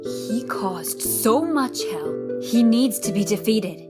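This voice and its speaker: A woman's voice: fairly high pitch, expressive, slow. A woman playing a stubborn, vengeful young female fighter in an audio drama. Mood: bitter, doubtful. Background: loud background music.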